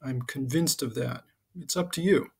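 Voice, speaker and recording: A middle-aged American man, a high school teacher, giving an informal, halting, explanatory introduction to his class over slides. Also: creaky, a little mellow, breathy edge. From United States (Connecticut and Southern California).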